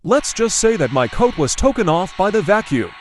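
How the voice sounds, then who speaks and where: A man's voice: high-pitched, big pitch swings, normal speed, slight background noise. A man acting a flustered character in a homemade comic skit. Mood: dismayed.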